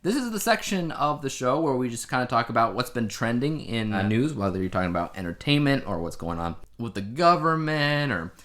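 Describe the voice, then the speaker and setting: Male podcast host, average pitch, loose conversational news chat, wry and rambling. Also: very animated, brisk, few pauses, a bit bright.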